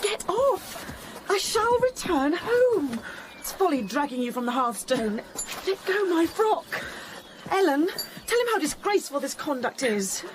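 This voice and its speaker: An actress playing a spirited, headstrong young gentlewoman in a period drama, emotional and imperious. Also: sits high, big pitch swings, measured pace, slight background noise. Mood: scornful. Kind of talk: period radio drama acting.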